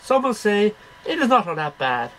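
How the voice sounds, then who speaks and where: A man's voice: high voice, sing-song, moderate pace, a bit bright, a little hiss or hum, poor audio. A middle-aged man reading poems aloud in a declamatory, sing-song way, one line at a time. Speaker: amateur poetry reader. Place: small cluttered bedroom. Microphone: webcam at about arm's length.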